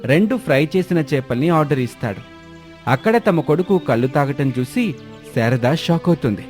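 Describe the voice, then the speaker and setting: A man's voice: fairly high pitch, expressive, moderate pace, a little mellow, soft background music. A man narrating a scripted moral story as storyteller.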